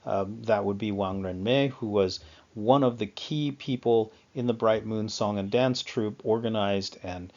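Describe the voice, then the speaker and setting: A man talks through a history lecture in a fairly low voice, stopping often to fill pauses and correct himself. The recording is somewhat dull and lo-fi. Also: a little mellow. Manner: halting, frequent fillers and self-corrections. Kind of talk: unscripted lecture on music history. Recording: slightly dull lo-fi sound.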